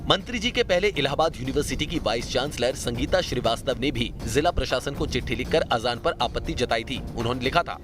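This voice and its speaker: A man's voice: medium pitch, lively intonation, brisk, hardly any pauses. A man reading a dramatic scripted news report as a television voice-over. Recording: music behind the voice. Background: news music bed.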